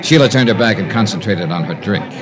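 A man's voice: on the low side, expressive, brisk, crowd noise, lightly acted. An American actor playing a wry, hard-boiled male investigator who also narrates, in a 1950s radio drama. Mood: tense narration. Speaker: lead actor and narrator of a detective series. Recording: 1950s radio broadcast with music.

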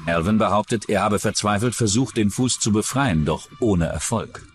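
A man's voice: on the low side, normal speed, soft background music. A man reading scripted true-crime documentary narration.

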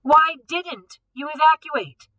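A woman's voice: sits high, slow pace, pushed and bright, no echo, voice pushed up, exaggerated voice. A woman voicing an angry male museum director who snaps demanding questions at guards, read from a novel.

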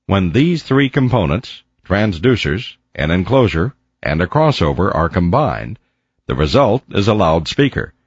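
A man reading a scripted educational narration in a measured, precise, didactic way. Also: deep voice, big pitch swings, slightly rough, no echo, lo-fi sound. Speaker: instructional video narrator. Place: close, dry voice-over recording.

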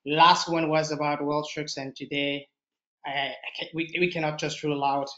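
A man's voice: a little high, little pitch movement, moderate pace, a bit bright. A man from Mexico with a Mexican accent, presenting a nature webinar over a video call in slightly broken English with frequent fillers. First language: Spanish. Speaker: expedition leader presenting a webinar. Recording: roomy, dull video call audio.

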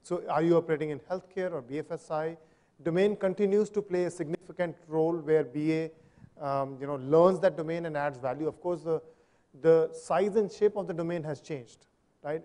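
A man's voice: on the high side, dark tone. A man in his fifties moderating a conference panel in a large hotel hall, summarizing points in a structured way and checking in with the audience.

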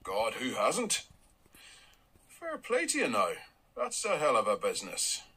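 A blunt middle-aged Ulster dairy farmer. His Northern Irish voice is bright and a little husky, and it rises and falls a lot as he makes wry, folksy jabs in this radio play. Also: mid-range voice, wildly swooping pitch, measured pace, exaggerated voice. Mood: wry, stubborn. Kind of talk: radio drama acting.

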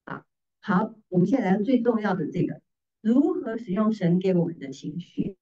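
A woman's voice: medium pitch, expressive, normal speed, dark tone. A middle-aged woman preacher giving a teaching sermon, repeating phrases and posing rhetorical questions. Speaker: preacher, pastor's wife. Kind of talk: sermon teaching in Mandarin with slides. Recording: distant mic, slightly muffled sound.

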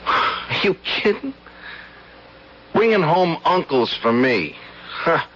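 An American actor playing a cocky, defensive young street hustler in a radio drama, talking in halting, tough slang. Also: male voice, fairly high pitch, very animated, a little hiss or hum. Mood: bitter. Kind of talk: 1970s radio drama acting. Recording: dull vintage radio recording.

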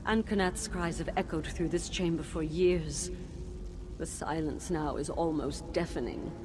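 A weary ghost of a woman teacher, bound in torment and speaking slowly and gravely. Her voice sits a little low, and steady noise runs under the game audio. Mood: grateful. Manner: sorrowful, measured and grave. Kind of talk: video game voice acting.

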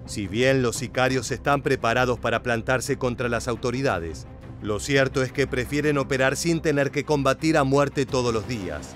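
A man giving scripted voice-over narration at a steady, measured pace. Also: mid-range voice, faint music behind. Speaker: documentary narrator.

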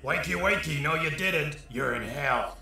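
Folksy drunk deity, male actor, highish creaky voice, blunt and wry stage dialogue, heavy room echo, faint noise. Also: animated, a little slow, bright, forceful tone, full character voice. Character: gruff, wisecracking drunken male God in hell. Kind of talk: stage-style comic drama dialogue.

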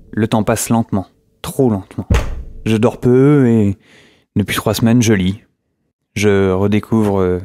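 A man acting a weary, isolated survivor who records a halting, brooding audio diary for a radio drama. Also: fairly low pitch, dark tone, no room sound, clean studio sound, lightly acted. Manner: halting, brooding, with pauses and hesitations. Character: weary, isolated male survivor holed up in a flat. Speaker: narrator and lead actor.

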